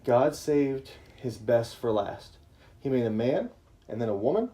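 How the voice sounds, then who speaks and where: A man with a soft-edged, mellow voice hosts a family livestream as a dad. He moves between casual chat and reading a children's bedtime story, explaining things informally. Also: medium pitch.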